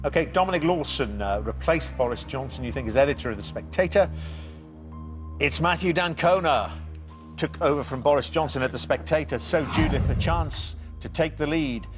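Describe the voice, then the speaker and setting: A man hosting a TV quiz show in a jovial, upbeat way, joking with the contestants between questions. He reads each question and its answer options slowly and clearly, with some quiet music under the show. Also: on the high side, lively intonation. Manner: jovial, reads questions deliberately, chuckles at his own puns. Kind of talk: TV quiz hosting, scripted intro and banter.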